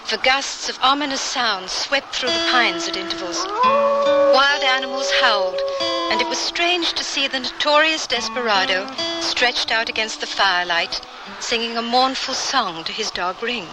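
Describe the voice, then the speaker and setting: Loud music sits behind a genteel lady traveler in a radio drama. Her voice is fairly high, and she speaks with earnest, theatrical formality, as if from a literary journal. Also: sing-song, moderate pace, low-quality recording. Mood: hushed, uneasy. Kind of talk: dramatic first-person radio narration.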